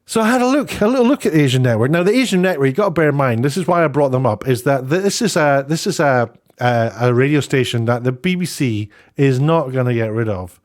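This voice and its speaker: A man giving informal, opinionated commentary in an emphatic, halting, sardonic way. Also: mid-range voice, animated. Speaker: online commentator.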